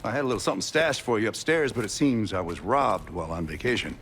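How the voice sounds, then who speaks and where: An actor voicing a cagey, sardonic male American spy veteran in a video game, gravelly and laconic. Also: on the low side, fast, fairly bright tone, slight background noise, natural voice. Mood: sardonic, guarded. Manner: dry, laconic, guarded. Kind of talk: cinematic video game acting.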